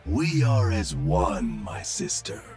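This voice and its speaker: A man playing a serene, philosophical robot monk in a video game, measured and meditative, with a husky synthetic tone. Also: lowish voice, animated, a little mellow, soft background music. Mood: serene, wise.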